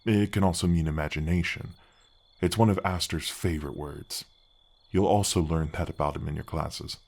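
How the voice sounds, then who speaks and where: A big, helpful male gargoyle, acted by a man with a very deep voice, lowered by an effect. He talks softly and earnestly, as in a fantasy ASMR roleplay, with courteous, slightly hesitant phrasing that makes him a kindly, bashful guardian. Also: steady tone, moderate pace, vocal fry, exaggerated voice. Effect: deep-voice pitch effect. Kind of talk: ASMR fantasy roleplay, soft conversational acting.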